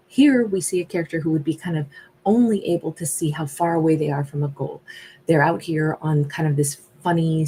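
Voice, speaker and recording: A woman's voice: sits low, dark tone, a little hiss or hum, low-quality recording. A woman doing a casual live-stream tarot reading, talking in a loose, reflective way with frequent asides. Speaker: tarot reader.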